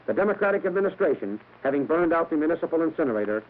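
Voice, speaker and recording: A man's voice: on the high side, sing-song, racing, a little hiss or hum. A man delivering a scripted political radio spot as a candidate. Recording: thin, muffled old radio recording.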